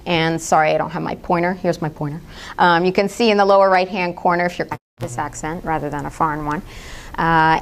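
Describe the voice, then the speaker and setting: Middle-aged woman speaker at a public talk, direct and impassioned, a smooth, slightly low voice. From United States. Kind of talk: public lecture.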